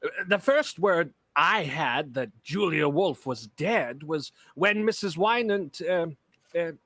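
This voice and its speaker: A man playing a stuffy, evasive gentleman in a 1930s-style radio drama, halting and indignant, with a husky, strained voice. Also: on the high side, expressive, no room sound, clean studio sound, heavily acted. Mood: flustered, indignant. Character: stuffy, evasive gentleman friend under questioning.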